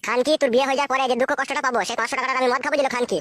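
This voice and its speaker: An actor voicing a crude, pushy, foul-mouthed young man in a comic cartoon, with a pitched-up voice. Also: little pitch movement, very fast, a bit bright. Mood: angry demanding. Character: crude, pushy, money-minded young male lover.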